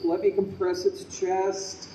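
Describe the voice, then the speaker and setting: An actor playing an earnest doctor in a delivery room, encouraging and dramatic, in old-time radio style. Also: male voice, sits high, monotone, slow, mellow, distant mic. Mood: urgent worry. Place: live performance in a convention room. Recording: dull echoey room recording, faint noise.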